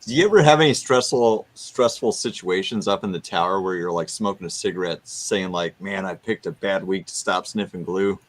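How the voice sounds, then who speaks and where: An American man hosting a casual chat, joking in a deadpan way. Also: sits low, normal speed, hardly any pauses. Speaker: podcast host.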